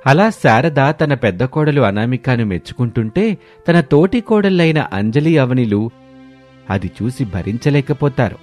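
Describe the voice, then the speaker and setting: A man narrates a scripted moral tale. His pitch moves in a lively way from line to line, over a clear music bed. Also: measured pace.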